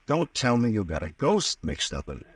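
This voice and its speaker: An actor playing a wry, skeptical, unflappable police captain in an old-time radio drama, questioning in a dry, teasing manner. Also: male voice, lowish voice, wildly swooping pitch, moderate pace, slightly rough, no echo, heavily acted. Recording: vintage radio recording, slightly distant.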